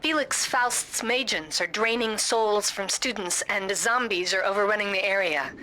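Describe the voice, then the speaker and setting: Woman playing a bright, casual mission briefer. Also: medium pitch, moderate pace, slight background noise, lightly acted, low-quality recording. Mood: wry, businesslike. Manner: casual, matter-of-fact. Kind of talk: mission briefing in a superhero game.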